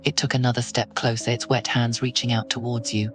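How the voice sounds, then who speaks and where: A man narrates a horror scene in the second person, steady and ominous. His voice sits at a middle pitch, with soft music underneath. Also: brisk, slight background noise.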